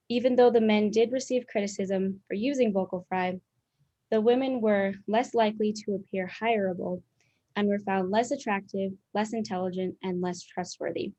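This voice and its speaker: A young woman student presenting a scripted explanation in a clear, explanatory way. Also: medium pitch, dark tone. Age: young adult. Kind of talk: scripted educational narration. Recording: dull lo-fi sound.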